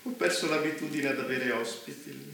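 An actor playing the courteous but condescending master of a dystopian bunker, formal and measured in a stage drama. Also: male voice, medium pitch, animated, creaky, pushed and bright. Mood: courteous, hosting. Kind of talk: Italian theatre dialogue. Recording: very echoey theatre, faint noise.

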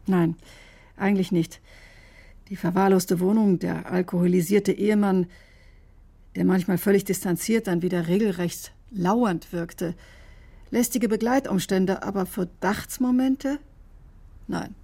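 Mellow, measured woman doctor. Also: medium pitch, steady tone, breathy edge. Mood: troubled, defensive. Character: defensive, conscience-stricken woman doctor recounting a suspicious death. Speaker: radio drama actor. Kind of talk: German radio drama acting, testimony-like narration.

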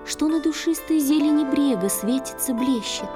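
A woman performing in a children's audio play, reciting verse lyrically and expressively with drawn-out phrases. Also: high voice, animated, music in the background.

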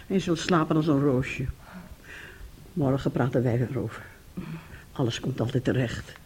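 An actress playing a domineering, bitter middle-aged mother in a radio play, husky and sharp-tongued. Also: low voice, rough recording. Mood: soothing. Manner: scolding, sharp-tongued, self-righteous.

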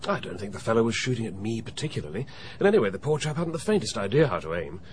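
An actor playing a genial, unruffled middle-aged banker in a radio drama, breezy and understated in manner. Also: male voice, lowish voice, big pitch swings, racing, slight background noise. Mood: breezy, amused. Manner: breezy, understated, self-deprecating. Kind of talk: BBC radio drama acting.

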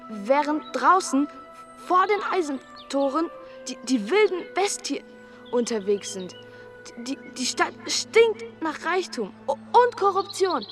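An actor playing an excitable, cynical schoolboy with a stammer and a theatrical, florid way of talking in a German radio drama. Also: male voice, extremely high pitch, heavily acted.

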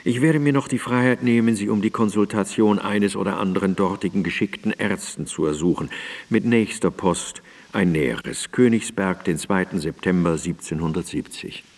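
An ailing, courteous scholar in a radio drama. The voice is on the low side and speaks in measured, formal, old-fashioned phrases. Long reverb surrounds it. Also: male voice, darkish tone. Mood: weary, courteous. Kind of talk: radio drama letter reading. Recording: reverberant studio, digitized cassette radio play.

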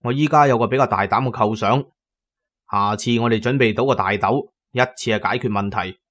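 An actor with a Cantonese accent playing a pragmatic tomb raider who tells his own adventures in a radio drama, measured and colloquial. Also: mid-range voice, a bit bright, close to an everyday voice. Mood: resolute, considered. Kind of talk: first-person radio drama narration and dialogue.

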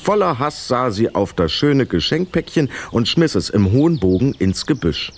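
A man narrating a scripted children's story in an expressive, vivid storytelling manner. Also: mid-range voice, normal speed.

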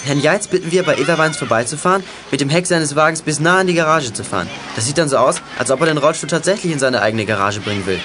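Man acting schoolboy gang leader, self-assured, bossy, rapid explanations, lightly high voice, youth radio play. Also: lively intonation, noisy background, natural voice. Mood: planning, instructing. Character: clever, bossy schoolboy leader of young detectives.

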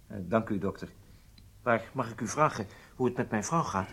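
An accused spy held prisoner in a radio play. He is husky and subdued, politely hesitant and anxious, with pitch that moves about a lot. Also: lively intonation, moderate pace, fairly bright tone, heavily acted. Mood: anxious, deferential. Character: anxious, deferential male prisoner accused of spying. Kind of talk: radio drama acting.

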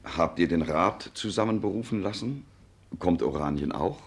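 Deep-voiced male counsellor, husky, standard German, earnest and formal, classic radio play. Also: animated, normal speed, heavily acted. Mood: pleading, respectful. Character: loyal, cautious male counsellor to a regent. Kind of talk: classic German stage-drama radio acting.

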